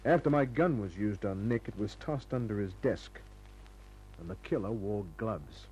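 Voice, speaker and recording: A hard-boiled detective from a 1950s radio crime serial. The voice is fairly low and husky, and the delivery is tough, clipped and cynical, full of slangy bite. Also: male voice, animated, normal speed, darkish tone, poor audio. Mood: tough, pressing. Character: hard-boiled, cynical male private detective narrating his case.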